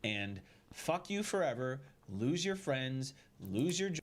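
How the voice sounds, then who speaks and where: A man's voice: mid-range voice, sing-song, slow. A man giving an aggrieved personal statement in an emphatic, indignant way, heard as replayed video audio. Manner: emphatic, indignant, dwelling on grievances.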